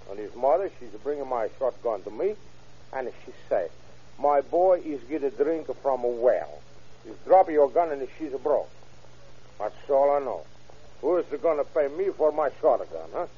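An excitable Italian immigrant man protests in thick, broken English. His husky voice leaps up and down with indignation. It is a muffled vintage radio crime show recording. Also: mid-range voice. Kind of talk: old radio crime drama acting.

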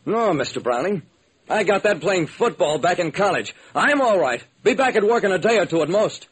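A man acts a good-natured young film extra in his twenties. He sounds earnest and sheepish, pitched up and lively, in an old radio play style. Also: moderate pace, breathy edge, exaggerated voice, poor audio. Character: bruised, good-natured husky young bit-part actor in his twenties. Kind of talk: classic radio drama acting.

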